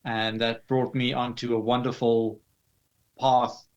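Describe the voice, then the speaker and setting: A man with a South African accent answering interview questions in a measured, explanatory way with formal wording. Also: mid-range voice, little pitch movement, highs cut off. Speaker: interview guest, digital artist.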